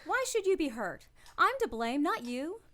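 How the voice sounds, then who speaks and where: A haunted young woman played by an actress in a radio drama, with a high voice. She broods over her guilt and sounds earnest and insistent. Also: very animated, normal speed, a bit bright, a little hiss or hum. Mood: desperate resolve. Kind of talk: radio play acting.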